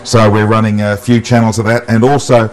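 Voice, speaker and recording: An Australian man presenting an unscripted amateur TV walk-through, halting often with ums and uhs. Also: fairly low pitch, little pitch movement. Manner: halting, with long um and uh pauses. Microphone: wireless mic pack. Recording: noisy amateur TV transmission audio.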